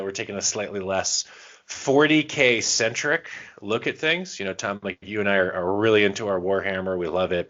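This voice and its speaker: A man's voice: sits low, a bit bright, lo-fi sound. A man co-hosting a podcast in casual chat, bantering in a jokey, self-deprecating way. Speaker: podcast co-host.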